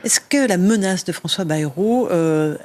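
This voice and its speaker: A man hosting a TV and radio talk show, introducing topics and questioning his guest briskly, with frequent fillers. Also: sits high, animated, darkish tone. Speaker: host.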